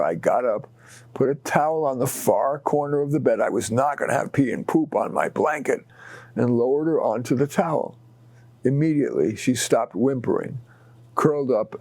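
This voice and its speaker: A man reading his short stories aloud expressively, in deliberate short phrases with dramatic pauses. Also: medium pitch, mellow, no room sound. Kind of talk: expressive read-aloud storytelling.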